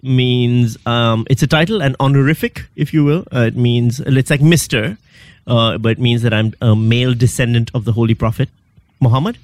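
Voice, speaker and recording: A man's voice: mid-range voice, moderate pace, darkish tone, no echo. Thirtysomething guy from pakistan, wry self-mocking comic, lots of ums, dry sound. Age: 30s. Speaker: guest comedian. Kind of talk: comedic interview.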